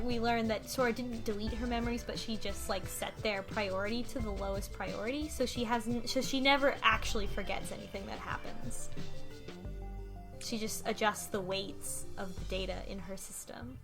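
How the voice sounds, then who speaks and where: A woman in casual chat, recapping a story step by step with frequent ums and restarts. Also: mid-range voice. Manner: methodical, many ums and restarts. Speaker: podcast co-host. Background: game music.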